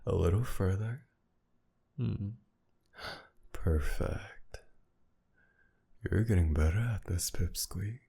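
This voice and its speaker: A man playing a mocking, menacing masked villain kidnapper in an intimate ASMR roleplay, with a gravelly, breathy murmur that often sinks toward a whisper. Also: low voice, mellow, full character voice. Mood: commanding, mocking. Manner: taunting, commanding, slow and teasing.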